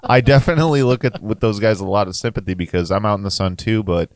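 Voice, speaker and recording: A man with a deep voice speaks quickly and jokingly on a podcast, catching and fixing his own words. The recording is dry. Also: a little mellow, dry recording. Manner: quick, self-correcting, joking. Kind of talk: casual podcast chat.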